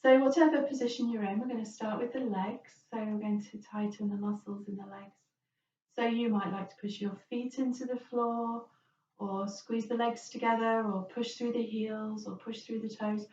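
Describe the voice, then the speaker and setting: A woman's voice: on the high side, fairly even intonation, moderate pace. Roomy, distant woman guiding a relaxation. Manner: gentle, reassuring, permissive. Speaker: yoga relaxation teacher. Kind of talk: guided relaxation instruction. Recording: roomy, distant, dull, faint noise.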